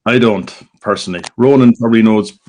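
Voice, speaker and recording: A man hosting a relaxed roundtable interview, asking the guests questions. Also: on the low side, a little fast. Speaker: host. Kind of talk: casual interview chat.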